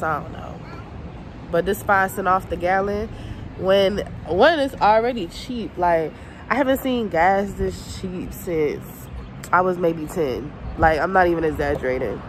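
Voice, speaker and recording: A woman's voice: lowish voice, very noisy. Laid-back Southern woman vlogging on her phone. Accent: American Southern. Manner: chatty, laid-back, slangy. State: stuffy nose. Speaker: vlogger, mom. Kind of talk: casual selfie vlog chat. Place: car at a gas station, then a home kitchen. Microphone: phone held at arm's length.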